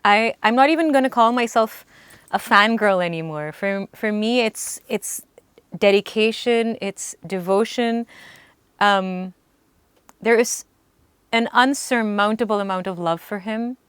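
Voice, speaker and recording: A young woman, a singer, telling lively stories in an interview, laughing and acting out what people said. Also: mid-range voice, unhurried, smooth, dry recording. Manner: animated, laughing, acts out quotes. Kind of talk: storytelling in an interview.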